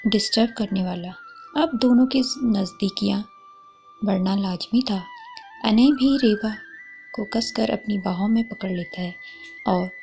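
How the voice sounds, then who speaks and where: A woman's voice: medium pitch, creaky, a little mellow. A woman storyteller reading a scripted romantic story. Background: faint music.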